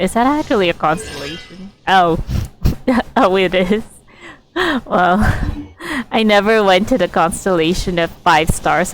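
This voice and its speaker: A woman's voice: lowish voice, low-quality recording. A woman streaming a game with casual commentary, musing to herself and reading dialogue aloud. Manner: musing, talking to self.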